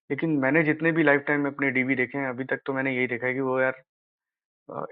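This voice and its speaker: An Indian man talks through practical details in an informal explainer style. He advises casually, like an elder brother, and keeps checking that the listener follows. His voice sits at an ordinary male pitch, and the recording is slightly dull and lo-fi. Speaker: exam advice presenter. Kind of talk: informal explainer of a job notification.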